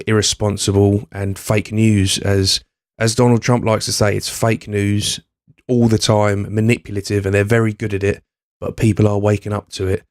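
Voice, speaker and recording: Hoarse male podcast host with a cold. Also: fairly low pitch, darkish tone, dry recording, studio quality. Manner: hesitant, many ums and restarts. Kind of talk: casual podcast talk.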